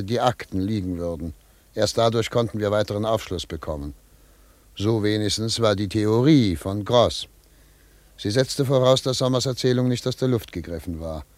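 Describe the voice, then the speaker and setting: A man's voice: fairly low pitch, no room sound, lightly acted. A man playing a brusque, cynical investigator in a German radio drama, speaking with sardonic, clipped authority. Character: brusque, cynical male investigator, sceptical and commanding. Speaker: investigator and narrator.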